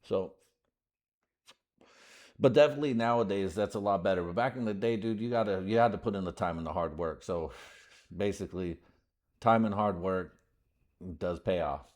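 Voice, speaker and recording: Deep low voiced guy rambling on his podcast, slangy, swears a lot, lots of "you know". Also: normal speed, long pauses, a little mellow. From United States. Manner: slangy, profane, rambling with many fillers. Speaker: podcast host. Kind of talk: casual rambling podcast monologue.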